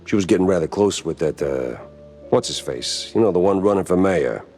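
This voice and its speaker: Deep-voiced hard-boiled mouse detective. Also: male voice, animated, fairly quick, darkish tone. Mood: wry. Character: world-weary male mouse private detective, wry and dogged. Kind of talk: film noir video game voice acting. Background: music.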